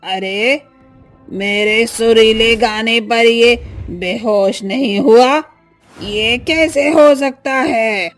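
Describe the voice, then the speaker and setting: A woman acts out a murderous village witch from a cartoon horror tale. The words come very slowly in a high female voice, drawn out with threat, over faint music. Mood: baffled. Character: menacing female witch who lures and kills villagers.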